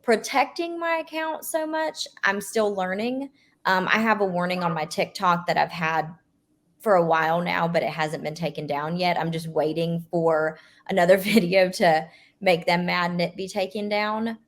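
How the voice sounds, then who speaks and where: A woman's voice: on the low side, moderate pace. A mother speaking as a guest in a casual interview, chatty and full of fillers. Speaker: guest, mother who earns money from home.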